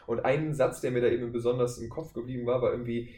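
A man's voice: mid-range voice, fairly even intonation, fast, mellow. A man with a German accent chatting casually as a podcast guest, telling work anecdotes in an informal way. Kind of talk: casual interview chat with anecdotes. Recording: roomy echo, faint background noise.

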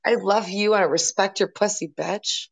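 A woman's voice: mid-range voice, steady tone, normal speed, vocal fry, slightly rough. Teasing, sarcastic young woman. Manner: teasing, sarcastic, swears freely. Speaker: podcast host. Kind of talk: casual chat.

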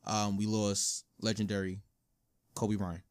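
A man's voice: on the low side, little pitch movement, unhurried. Subdued man co-hosting a podcast. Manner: informal urban slang, frequent "you know what I'm saying". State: subdued, low energy. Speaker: podcast co-host. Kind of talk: casual conversational podcast talk.

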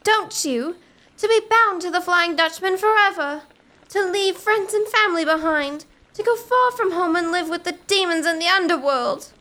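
A woman's voice: extremely high pitch, moderate pace, pushed and bright. A woman playing a theatrical, teasing young niece who relishes ghostly tales in a comic gothic audio drama. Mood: dramatic teasing.

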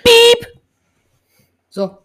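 A woman's voice: very high-pitched, very animated, extremely slow, fairly bright tone. A young woman in her teens hosting a casual podcast, rambling along with lots of filler words. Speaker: podcast host.

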